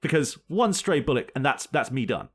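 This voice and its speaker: A man's voice: medium pitch, lively intonation, normal speed, slightly rough. A man co-hosting a casual podcast chat, rambling and joking with frequent laughs. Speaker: podcast co-host.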